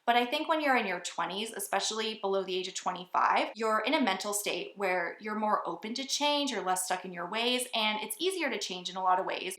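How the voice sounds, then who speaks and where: A woman's voice: medium pitch, a bit bright, roomy sound. A woman in her twenties with an American accent, giving personal advice to viewers in a vlog. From United States. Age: 20s. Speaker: vlogger.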